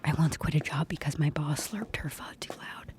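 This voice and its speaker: A woman's voice: deep voice, normal speed, in a whisper, lightly acted. An actress playing a wry, uneasy woman from HR turned amateur sleuth in an audio drama, with an awkwardly breezy manner. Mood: hushed, uneasy. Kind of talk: podcast audio drama acting.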